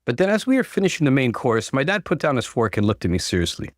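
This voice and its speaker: A man narrating a first-person story in an earnest, clearly articulated, dramatic way. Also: medium pitch, big pitch swings, fast talker, no room sound, studio quality.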